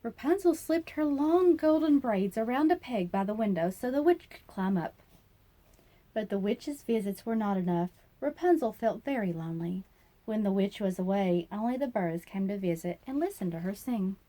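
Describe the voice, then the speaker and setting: A woman's voice: medium pitch, expressive, moderate pace, warm tone. A woman narrating a children's fairy tale as a storyteller, reading aloud expressively.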